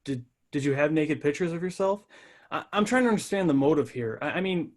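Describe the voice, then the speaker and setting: Military lawyer, male actor: earnest, probing, halting speech, slightly high register, screenplay drama read. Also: animated. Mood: puzzled, probing. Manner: hesitant, halting questioning. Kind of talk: screenplay table read acting.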